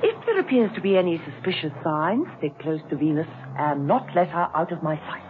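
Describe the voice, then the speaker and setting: A woman's voice: low-pitched, animated, fairly quick, phone-quality sound, background noise. An actress playing a poised, witty British lady secret agent in a 1970s radio drama, arch and teasing in manner. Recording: thin band-limited old radio recording.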